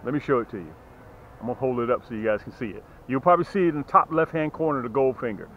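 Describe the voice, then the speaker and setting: A man hosting a fishing channel, giving a casual, folksy sales pitch to camera and repeating phrases for emphasis. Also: racing, muffled recording, a little hiss or hum. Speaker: fishing channel host and tackle seller.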